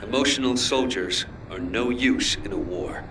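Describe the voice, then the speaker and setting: Curt, low-voiced military squad leader played by a man. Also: normal speed, noisy background, close to an everyday voice. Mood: firm, supportive. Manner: curt, commanding. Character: stern, pragmatic male military squad leader. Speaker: squad commander. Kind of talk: video game cutscene acting. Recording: music behind the voice.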